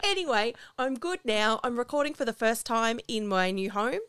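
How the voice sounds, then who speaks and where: An Australian woman co-hosting a casual podcast chat, slightly husky after laryngitis, rambling and self-deprecating. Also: fairly high pitch, expressive, moderate pace, a bit bright, no room sound, studio quality. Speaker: podcast co-host. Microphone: home recording over video call.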